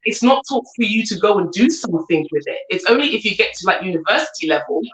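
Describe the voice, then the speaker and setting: An earnest woman in her thirties speaks with a London accent. Her voice sits at a middle pitch and echoes around the room she is in. She talks in a loose, chatty way, full of "like" and "do you see what I'm saying". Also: expressive, fast talker. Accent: London English. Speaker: guest, coach and entrepreneur. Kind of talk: casual chat.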